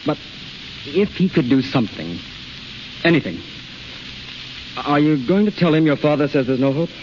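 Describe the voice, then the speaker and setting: Gentle gentleman in muffled radio drama. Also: on the high side, big pitch swings, moderate pace. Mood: earnest, hopeful. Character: gentle, devoted gentleman friend of an invalid. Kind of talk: 1930s radio acting. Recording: muffled 1930s radio, faint static.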